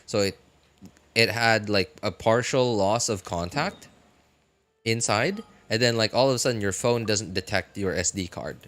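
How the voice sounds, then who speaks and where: A man talking casually on a live game stream in a rambling, halting way, often correcting himself. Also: fairly low pitch, a bit bright.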